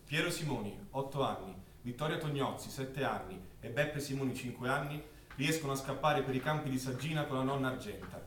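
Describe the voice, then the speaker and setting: A man's voice: mid-range voice, brisk, a bit bright. A man narrating a scripted war drama as a stage actor, in a dramatic storytelling manner. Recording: echoey, noisy, distant stage recording.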